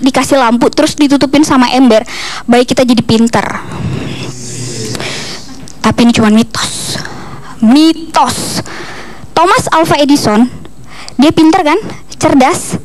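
High-pitched young woman doing stand-up. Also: normal speed, pauses often, low-quality recording. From East Kalimantan, Indonesia. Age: young adult. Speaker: stand-up comedian. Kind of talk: stand-up comedy routine. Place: TV comedy studio stage with audience. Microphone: handheld microphone. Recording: audience ambience noise behind stage mic.

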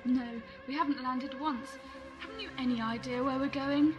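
An actress playing an inquisitive, skeptical space traveller in a science fiction audio drama, briskly questioning. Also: female voice, high-pitched, fairly even intonation, highs cut off, music in the background, lightly acted. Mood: concerned, curious.

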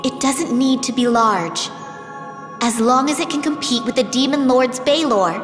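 A woman's voice: high-pitched, moderate pace, close to an everyday voice. An actress voicing an earnest, regal young fairy queen in a fantasy game, careful in her speech. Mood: concerned. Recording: echoey hall reverb under game music.

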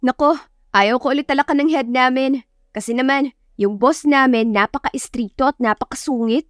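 A woman voicing a chatty, bashful young female designer in a story, animated and girlish, with a Filipino accent. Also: high-pitched, normal speed, dry recording, studio quality, pitched above the performer's own voice, natural voice. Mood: chirpy.

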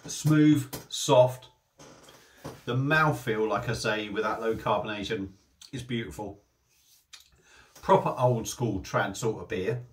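A man's voice: fairly low pitch, takes it slowly, pauses often. Bloke in his 40s doing a chatty beer review at home, webcam sound, keeps restarting bits. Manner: chatty, repeats himself, restarts phrases. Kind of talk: casual beer review to camera. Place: small home room with shelves.

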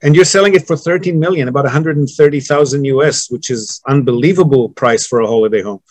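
A man in his fifties interviews a guest over a laptop webcam, his voice dry and close with a middling pitch. He keeps it friendly, with quick affirmations. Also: darkish tone, dry recording. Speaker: interviewer, real estate host. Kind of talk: casual interview on video call. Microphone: laptop webcam on video call.

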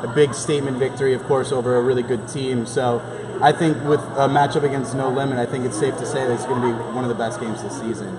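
A man's voice: mid-range voice, fairly even intonation, quick pace, low-quality recording. A young man hosting a postgame basketball show, talking in an interview and commentary style. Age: young adult. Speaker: sports show host. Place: large indoor basketball gym. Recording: gym ambience with background crowd noise.

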